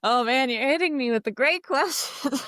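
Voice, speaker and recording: A woman's voice: high voice, animated, a little slow, a bit bright, no echo. A woman in her thirties from Kosovo whose first language is Albanian, speaking as a podcast guest in a reflective, casual way, often adding "like" and "right?". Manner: reflective, peppers speech with "like" and "right?". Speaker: podcast guest, game designer. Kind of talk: casual interview chat.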